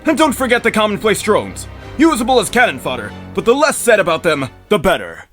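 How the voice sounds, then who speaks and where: A man's voice: high-pitched, very animated, fairly quick, a bit bright, soft background music. A man voicing a megalomaniac mad scientist villain who lectures in a grandiose, sneering way, for a fan comic dub.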